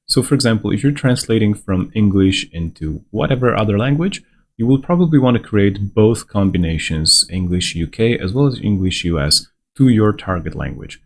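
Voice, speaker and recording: Man, tutorial presenter, reassuring step-by-step tone, faint background hiss. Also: on the low side. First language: Slovenian. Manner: conversational step-by-step guiding, reassuring. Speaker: onboarding guide presenter. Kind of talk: screen-recorded software walkthrough.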